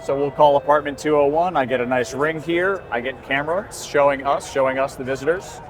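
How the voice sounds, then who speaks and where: A man's voice: fairly high pitch, a little fast. A man giving a conversational, explanatory product demonstration as a company presenter at a trade show. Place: busy indoor trade show booth. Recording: constant trade show floor noise. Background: exhibition hall ambience.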